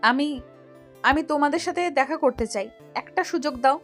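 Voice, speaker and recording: A woman playing a lovesick, remorseful younger sister in an audio love story, speaking softly and earnestly in a pleading way. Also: high-pitched, normal speed, faint music behind, close to an everyday voice.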